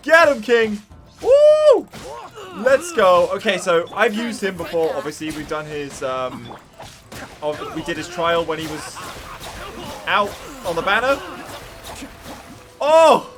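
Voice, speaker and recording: Guy streamer reacting to a game, highish voice, super animated n goofy, game music faint behind him. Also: slow, pauses often. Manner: animated, exclamatory, playful. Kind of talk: live playthrough reaction, casual chat.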